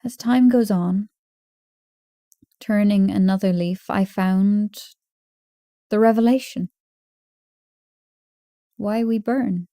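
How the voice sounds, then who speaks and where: A woman reciting poetry in a measured, deliberate way, pausing between short phrases. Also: medium pitch, warm tone, no echo, clean studio sound. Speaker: poetry reader.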